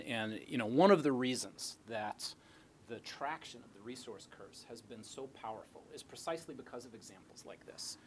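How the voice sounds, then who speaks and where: A middle-aged man answering audience questions as an academic speaker in a lecture hall, explaining through rhetorical questions. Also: medium pitch. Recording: noisy lecture hall recording.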